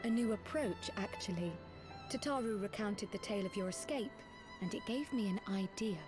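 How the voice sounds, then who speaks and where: Woman acting a teasing young scholar gal from a fantasy game, very proper wording, loud music under it. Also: mid-range voice, moderate pace, close to an everyday voice. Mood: confident, explaining. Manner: formal, eloquent, archly teasing.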